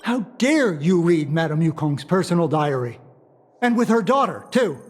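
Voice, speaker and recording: An actor playing a fussy, devoted subordinate officer in a video game dub, speaking in a theatrical, officious way. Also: male voice, on the high side, very animated, moderate pace. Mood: indignant.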